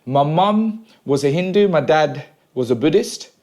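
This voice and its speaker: A man around forty from Sri Lanka, a pastor and podcast guest, telling his story in a relaxed way with many pauses and "uh"s in casual conversation at home. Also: on the high side. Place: a home room.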